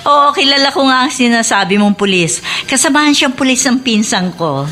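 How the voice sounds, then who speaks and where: High-voiced Filipino schoolteacher. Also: female voice, lively intonation, fairly quick, bright, forceful tone, slight background noise. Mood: curious. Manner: encouraging, inquisitive. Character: kindly, encouraging, curious female schoolteacher. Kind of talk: radio drama acting.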